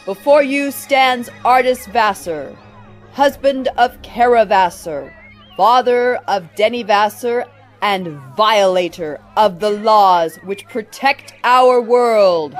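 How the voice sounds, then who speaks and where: A stern zealot leader played by a woman in an audio drama, declaiming solemnly and slowly. Her voice is fairly high and pitiless, over faint music.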